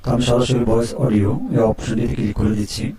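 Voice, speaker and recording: Male tutorial host, quick monotone delivery with step-by-step instructions. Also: mid-range voice, echoey room, distant mic. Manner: steady instructional, frequent "ঠিক আছে?" check-ins. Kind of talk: screen-recorded software tutorial.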